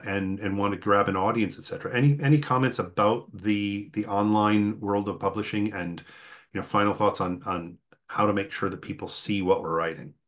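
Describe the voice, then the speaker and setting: A man hosting an online workshop in a conversational, halting way, often repeating words as he goes. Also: fairly low pitch, a bit bright. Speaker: host.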